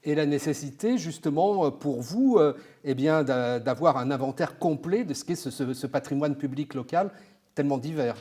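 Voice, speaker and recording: A man's voice: on the high side, normal speed, mellow, slightly rough. A man asking questions as an interviewer, conversational and rephrasing hesitantly as he goes.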